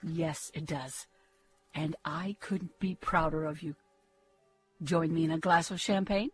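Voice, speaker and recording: A woman's voice: sits low, steady tone, unhurried, husky, faint music behind. A woman playing a proud but anxious middle-aged mother in a gothic audio drama, genteel and placating. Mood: genteel pride.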